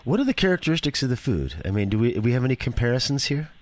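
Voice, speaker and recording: A man's voice: medium pitch, big pitch swings, very fast. A male host from New York asking questions in a chatty, enthusiastic way, drifting into rambling personal asides. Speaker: radio show host. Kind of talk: casual interview chat.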